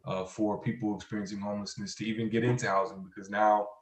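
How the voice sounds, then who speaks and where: A man speaking as an expert panelist, explaining advocacy work in halting, measured phrases. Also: lowish voice, level tone. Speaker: criminal justice program director, panelist. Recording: roomy, echoey sound.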